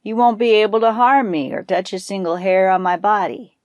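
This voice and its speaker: A woman's voice: mid-range voice, moderate pace, dry recording, lightly acted. Storybook buffalo, played by a woman: long-suffering male, proud, stubborn, emphatic challenger in a dry recording. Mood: confident.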